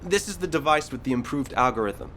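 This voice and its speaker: An actor voicing a matter-of-fact engineer absorbed in technical explanation in a science fiction video game. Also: male voice, medium pitch, expressive, fairly quick, a bit bright, slight background noise. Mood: focused, pleased. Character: matter-of-fact male sci-fi engineer, absorbed in his gadgets.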